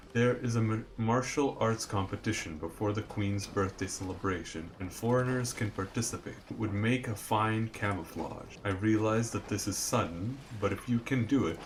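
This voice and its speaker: Low-voiced man reading game dialogue. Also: slight background noise, rough recording. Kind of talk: casual game playthrough, reading dialogue aloud.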